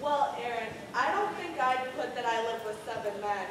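A woman's voice: on the high side, lively intonation, normal speed, close to an everyday voice. A teenage girl playing a sweet, homely fairy-tale princess in a live stage comedy skit. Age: teens. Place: school auditorium stage. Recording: distant echoey noisy auditorium.

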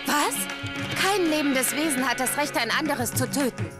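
A woman's voice: high-pitched, lively intonation, normal speed, over loud music. An actress playing a stern, proud young woman with an earnest, reproachful manner in a German cartoon dub.

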